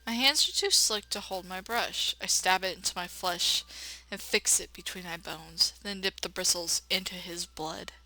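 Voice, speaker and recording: A woman's voice: lowish voice, normal speed, sharp tone, poor audio. A woman reading a game dramatically and adding casual, slangy, irreverent commentary as a let's play host.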